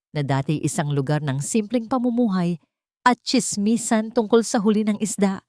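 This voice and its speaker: A woman's voice: lowish voice, a little mellow, no echo, studio quality. A woman giving a scripted, dramatic narration of a story.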